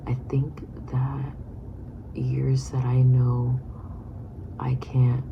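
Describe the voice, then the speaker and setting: A woman in her thirties whispering a personal vlog, halting and reflective. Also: extremely low pitch, monotone, gravelly, highs cut off, noisy background. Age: 30s. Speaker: vlogger.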